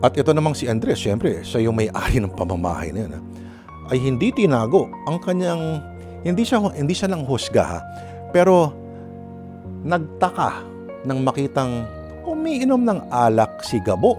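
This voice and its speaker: A man's voice: medium pitch, big pitch swings, normal speed, long pauses. A man hosting and narrating a radio drama program, chatty and dramatic, often addressing his listeners directly. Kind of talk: conversational radio hosting and storytelling. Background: music.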